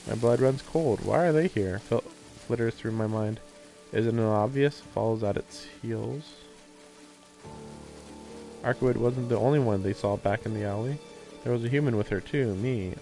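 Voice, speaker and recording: A man's voice: medium pitch, normal speed, mellow, soft background music. A man streaming a visual novel, reading the text aloud and mixing in casual commentary, with faint noise behind him.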